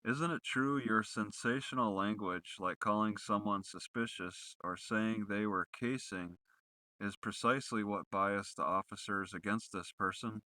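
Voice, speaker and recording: A man's voice: lowish voice, a little slow, sharp tone, no room sound. A man putting accusatory, leading questions in the style of a rehearsed cross-examination.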